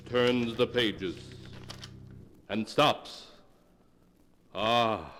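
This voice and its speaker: An actor playing the ominous host of a 1940s radio horror series, speaking in a theatrical, melodramatic way. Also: male voice, on the high side, a bit bright. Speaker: announcer and narrator. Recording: 1940s radio recording, long reverberant hall.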